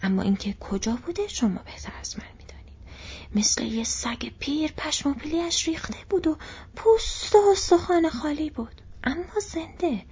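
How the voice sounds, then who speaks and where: A woman's voice: high voice, animated, darkish tone, voice pushed up. A woman voicing a fussy, bumbling old male innkeeper who rambles and exclaims, in a dull lo-fi recording. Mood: flustered.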